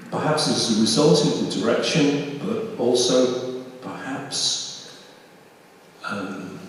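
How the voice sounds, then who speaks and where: Slow talking guy, academic and careful, pausing a lot, distant mic in echoey hall. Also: medium pitch. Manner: measured, hesitant pauses, careful phrasing. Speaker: discussion participant. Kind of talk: reflective academic commentary. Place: large reverberant room at a public discussion. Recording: echoey hall from far off, faint noise.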